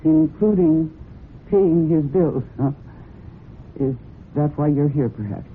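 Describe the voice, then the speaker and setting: An actress playing a proud, protective young horse owner, guarded and firm, in an old-time radio drama. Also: female voice, low-pitched, takes it slowly. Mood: guarded, cool. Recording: muffled, noisy old radio broadcast.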